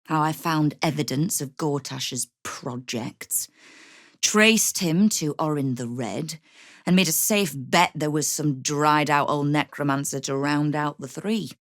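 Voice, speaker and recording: Game role: hard-bitten female guild boss. Low voice, sardonic and in command, very dry studio sound. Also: a little slow, dry recording. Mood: grim, businesslike. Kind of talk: video game character dialogue.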